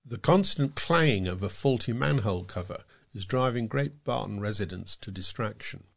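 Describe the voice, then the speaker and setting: A man reading scripted local news as a volunteer newsreader, in a measured read-aloud manner. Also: on the low side, creaky.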